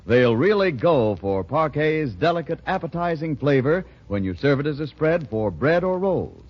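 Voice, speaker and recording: A man's voice: on the high side, very animated, moderate pace. An American man announcing on 1940s radio, reading scripted ads with polished, emphatic salesmanship. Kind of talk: 1940s radio ad read and narration. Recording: dull 1940s radio recording.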